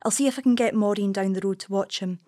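A harried young policewoman, voiced by a male narrator, lifted well above his own voice and quick with apologies. The read is dry and close, a storybook reading. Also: high-pitched, warm tone, no room sound, pitched above the performer's own voice, natural voice. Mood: flustered. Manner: apologetic, hurried. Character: flustered young woman police officer.

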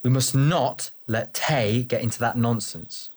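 Male narrator as serious, doubtful squad member, firm and emphatic, husky, audiobook fantasy. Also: medium pitch, unhurried, a little mellow, voice pushed up, lightly acted. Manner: earnest, firm. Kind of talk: acted audiobook dialogue.

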